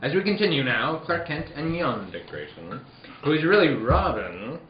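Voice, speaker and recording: A man's voice: a little high, very animated, moderate pace. A man playing a dramatic 1940s radio serial announcer, theatrical and building suspense. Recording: roomy, dull sound with crowd noise.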